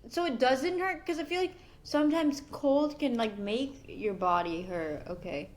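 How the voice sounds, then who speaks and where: A woman co-hosting a podcast in casual chat, bubbly and quick to react. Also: sits high. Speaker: podcast co-host.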